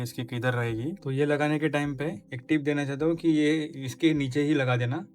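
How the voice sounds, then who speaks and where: Man giving a casual step-by-step tutorial. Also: medium pitch. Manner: step-by-step explaining, giving tips. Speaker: motorcycle vlogger. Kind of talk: casual hands-on installation tutorial.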